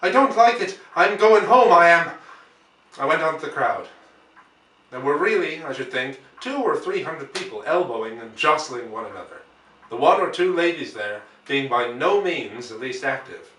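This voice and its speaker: A man reading a classic novel aloud as dramatic narration, with measured, theatrical phrasing. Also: mid-range voice, lively intonation, a bit bright. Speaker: audiobook reader. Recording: roomy echo, distant microphone.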